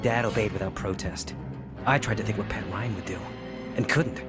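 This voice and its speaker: An actor playing a grown man recalling his boyhood, in reflective, measured first-person drama narration. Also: on the low side, over loud music, lightly acted. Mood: wistful.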